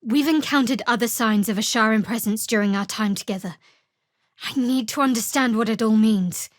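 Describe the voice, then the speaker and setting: An actress voicing a guarded, sardonic young woman cleric in a video game, with a dry, wry and measured delivery. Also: on the high side, no echo, lightly acted. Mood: troubled, earnest. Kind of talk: video game character voice acting.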